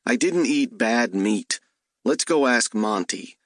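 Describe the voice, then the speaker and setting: A man's voice: medium pitch, expressive, slow pace, no room sound, studio quality. A man voicing an exasperated, sarcastic male detective in an audiobook, with indignant delivery.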